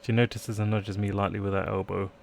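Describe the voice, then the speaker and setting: A man's voice: on the low side, little pitch movement, fairly quick, creaky, a little mellow, slight background noise. A man live-streaming a let's play, reading game text aloud and chatting in playful asides. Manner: playful asides, trying out accents.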